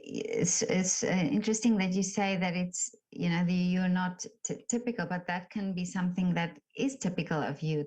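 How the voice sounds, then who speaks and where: A woman's voice: fairly low pitch, a little mellow. A woman interviewing a guest over a video call, effusive and complimentary, with many fillers.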